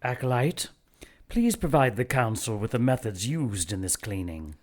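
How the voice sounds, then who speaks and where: A cold and ruthless church leader, played by a man, addresses a secret council. His delivery is measured and commanding, with menace under formal, dramatic radio-play speech. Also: mid-range voice, animated, a little mellow, lightly acted. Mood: cold authority. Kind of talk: audio drama acting.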